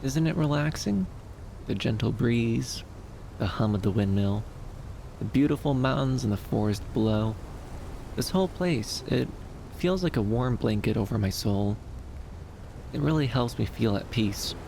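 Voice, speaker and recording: Male actor as soft, dreamy young femboy for ASMR roleplay: shy, soothing, creaky mid-range voice, light rumble behind. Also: expressive, a little slow, long pauses. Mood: calm, welcoming. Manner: soft-spoken, hesitant, soothing, with lilting upturns.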